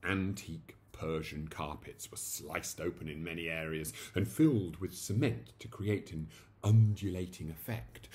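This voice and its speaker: Male narrator, 40s, very low voice with lively swoops, theatrical comic storytelling. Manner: theatrical, animated. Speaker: story reader. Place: small indoor room at home.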